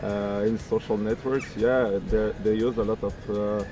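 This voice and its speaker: A man's voice: on the low side, fairly even intonation, moderate pace, music in the background, rough recording. A man from France, answering interview questions hesitantly and searching for words. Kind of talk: street vox pop.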